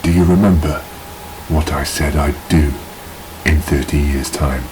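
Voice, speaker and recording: A sinister otherworldly tormentor from a horror radio play. The voice is extremely deep and drags slowly, taunting and full of menace. Clear hiss sits behind every pause. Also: male voice, steady tone. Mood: menacing taunting. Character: sinister male supernatural entity phoning in to torment. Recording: noisy, hissy phone-in line.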